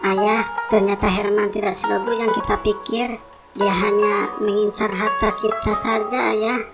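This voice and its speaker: A woman's voice: mid-range voice, flat delivery, a little slow, lightly acted, rough recording. A woman playing a betrayed, indignant rich man's daughter in a cartoon melodrama, speaking with accusing emphasis. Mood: upset. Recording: loud music behind the voice. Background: loud music.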